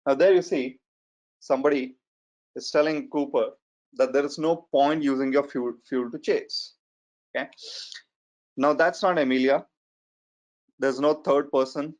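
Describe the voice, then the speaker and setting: A man in his forties with a strong Indian accent, giving an online lecture in a measured, hesitant way with fillers. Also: medium pitch, steady tone. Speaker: data science trainer. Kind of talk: webinar lecture. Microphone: computer mic on a video call. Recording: slightly muffled online webinar audio.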